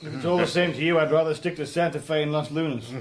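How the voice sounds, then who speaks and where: An actor as a dry, wry British spy. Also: male voice, a little high, fast, a little hiss or hum, lightly acted. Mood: deadpan. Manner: dry, laconic, ironic. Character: wry, insubordinate British spy narrating his own story. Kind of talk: British radio drama acting and narration.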